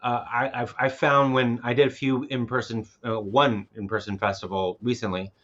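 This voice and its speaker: Halting man talking in slightly dull audio. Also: mid-range voice, flat delivery, fairly bright tone. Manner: rambling, halting, often repeats words. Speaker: filmmaker and film festival organizer. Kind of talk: casual panel discussion.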